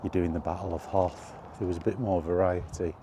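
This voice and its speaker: A middle-aged man chatting casually and reminiscing in a halting, thinking-aloud way, in short rambling phrases. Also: sits low, steady tone, background noise. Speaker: video creator and host. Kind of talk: casual reminiscing monologue about retro games. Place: outdoors while walking.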